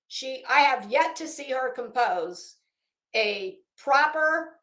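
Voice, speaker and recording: A woman ranting casually as an online host, blunt and sarcastic, swearing freely and halting to stretch words for emphasis. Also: sits high, animated, bright, forceful tone. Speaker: YouTube host. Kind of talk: casual livestream rant. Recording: echoey room.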